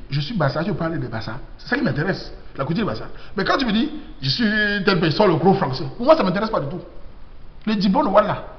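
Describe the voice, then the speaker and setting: Cameroonian French man, fast and emphatic, raised voice, roomy lo-fi sound. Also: high-pitched. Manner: emphatic, preachy, rhetorical questions. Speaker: vlogger. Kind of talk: impassioned monologue.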